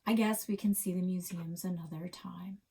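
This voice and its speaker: A woman voicing an earnest, easygoing, soft-hearted father who is easily swayed, in a storybook. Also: lowish voice, fairly even intonation, normal speed, background noise, natural voice.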